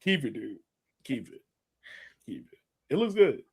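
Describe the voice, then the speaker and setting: A man's voice: mid-range voice, sing-song, a little slow, crowd noise. A man hosting a casual soccer podcast, chatting in a slangy, informal way. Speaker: podcast host.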